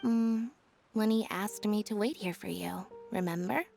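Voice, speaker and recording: A woman playing a calm, reserved young woman in a video game, speaking in a measured, deadpan way. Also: medium pitch, gravelly, music in the background. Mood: cool, matter-of-fact. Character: calm, reserved young woman, a magician's sister, matter-of-fact. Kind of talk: video game cutscene voice acting.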